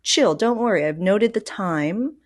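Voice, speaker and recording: A woman's voice: mid-range voice, animated, unhurried, no room sound, studio quality. A woman hosting a podcast in a casual, chatty way, informal and anecdotal with plenty of filler "like". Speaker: podcast host. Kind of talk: casual chat.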